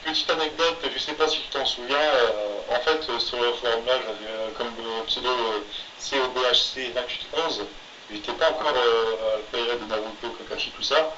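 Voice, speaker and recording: A man with a bright, forceful voice tells stories haltingly on a homemade podcast, filling gaps with hesitations. He sounds distant in a roomy space, and the recording is dull and lo-fi. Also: mid-range voice, a little hiss or hum. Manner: halting, filler-heavy storytelling. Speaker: podcast co-host, manga artist. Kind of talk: casual chat.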